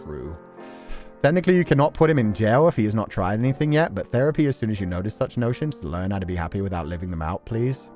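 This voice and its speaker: A man's voice: lowish voice, animated, fast talker, darkish tone. A man streams casual, rambling commentary in an informal, conversational way. His delivery is emphatic and peppered with slang as he addresses his viewers. Music plays behind his voice. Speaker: streamer reacting to a story game. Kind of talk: casual rambling commentary, reading and answering chat.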